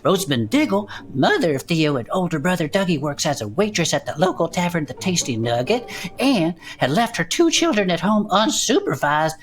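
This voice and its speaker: An actor playing an excitable, folksy amateur investigator in an audio drama, talking in a colloquial, theatrical way. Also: mid-range voice, normal speed, hardly any pauses, lightly acted. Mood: reading aloud. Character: Bud, excitable folksy podcast sleuth, hobby investigator.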